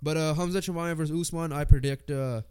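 A man's voice: on the high side, steady tone, fast, a little mellow, clear, resonant tone. A man co-hosting a sports podcast with a congested voice, chatting informally and swearing casually. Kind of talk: casual sports chat, reading lists and predictions.

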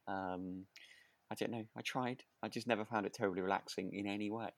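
A man's voice: lowish voice, steady tone, brisk. A man co-hosting a podcast in casual chat, rambling along in a hedging, chuckly way. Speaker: podcast co-host. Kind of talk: casual chat reviewing a radio drama.

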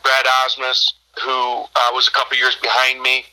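American male, 50s, team owner and coach in interview. Sharp bright tone, flat intonation, measured explanatory delivery. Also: medium pitch, low-quality recording. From Michigan, United States. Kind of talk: conversational interview answers.

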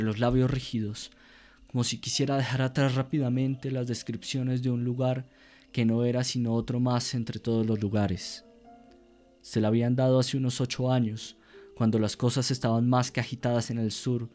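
A man narrating a scripted radio drama in a solemn, theatrical way with measured phrasing. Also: medium pitch, dark tone.

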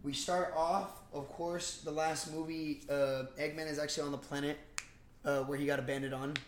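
A man's voice: fairly high pitch, little pitch movement, normal speed, slight background noise. A man co-hosting a casual movie review podcast, rambling and swearing freely as he chats. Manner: rambling, profane. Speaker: podcast co-host. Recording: roomy, echoey sound.

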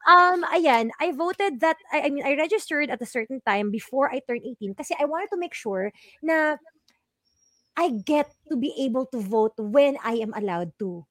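High-voiced bubbly Filipino woman DJ. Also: lively intonation, moderate pace, fairly bright tone, dry recording. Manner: bubbly, laughs often, mixes Filipino and English. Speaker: radio DJ co-host. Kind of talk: casual talk-show banter.

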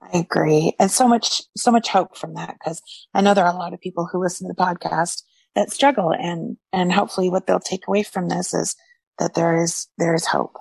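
Socal woman cohosting a podcast, low gravelly voice, upbeat and gushing, dry sound. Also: fairly even intonation, fairly quick, a little mellow, dry recording. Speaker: podcast co-host. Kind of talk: casual chat.

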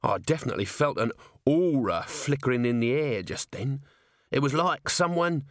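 A man's voice: mid-range voice, lively intonation, moderate pace, dry recording, studio quality, voice pushed up, natural voice. A man voicing a grubby, cheeky little watchman in an audiobook, with whiny, colloquial grumbling. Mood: quavering fear. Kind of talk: audiobook character voice.

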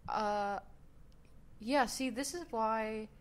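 A woman's voice: a little high, very slow. A woman in her twenties co-hosting a casual podcast, chatting in a playful, jokey way and swearing casually. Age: 20s. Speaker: podcast co-host. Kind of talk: casual chat. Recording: clear background noise.

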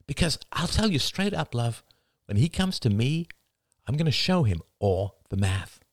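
A man's voice: mid-range voice, very animated, normal speed, no room sound, voice pushed up. Audiobook role: intense young family man, insistent, wide-ranging delivery male narrator acting. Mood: threatening, intense. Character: Ian, intense, insistent husband and father. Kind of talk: dramatic audiobook dialogue.

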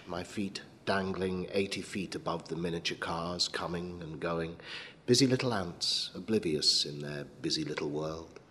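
A man reading a short story as a dramatic narrator, in a measured and sombre manner. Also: sits low, a bit bright. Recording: long hall reverb, clear background noise.

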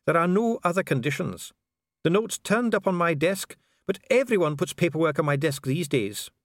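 A man's voice: fairly high pitch, lively intonation, normal speed, no echo, clean studio sound, voice pushed up. A man voicing a solemn, disdainful dwarf official in an audiobook, formal, precise and pompous. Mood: stiff, solemn. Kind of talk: audiobook character acting.

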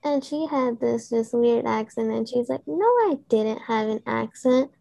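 Slow, soft-toned young woman. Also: fairly high pitch, expressive, vocal fry. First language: Urdu. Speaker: student guest. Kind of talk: casual chat.

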